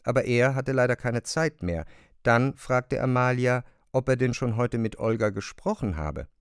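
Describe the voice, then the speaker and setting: A man reading literary narration for a radio drama, with measured, deliberate phrasing and pauses. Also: mid-range voice, animated, clean tone, dry recording, studio quality.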